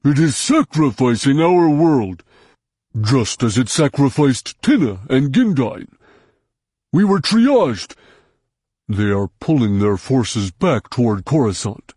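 A huge, pompous young Hutt, put on by a male narrator drags out every word in a slow, grandiose rumble. The tone is dark and mellow, low in pitch, and the dry close sound makes each line feel heavy and self-important for a storybook reading. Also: lively intonation, no room sound. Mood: thundering. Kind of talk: audiobook character voice.

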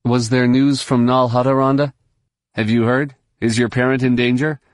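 A man voicing a young Jedi who is weary and guarded, speaking in a flat, restrained way. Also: medium pitch, moderate pace, no room sound, clean studio sound, close to an everyday voice. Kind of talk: audiobook character voice.

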